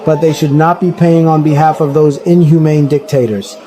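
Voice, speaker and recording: Mellow-voiced man reading a formal statement. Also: a little high, little pitch movement, moderate pace. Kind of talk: read statement.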